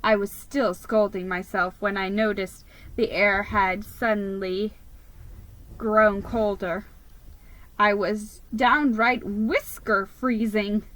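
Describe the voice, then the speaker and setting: A woman giving a theatrical, expressive dramatic reading of a children's story. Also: medium pitch, takes it slowly, dry recording, rough recording.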